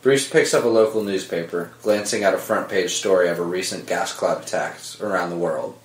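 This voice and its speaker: A man's voice: deep voice, fairly quick. A man narrating scripted screenplay directions at a table read. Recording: roomy echo under dramatic score music.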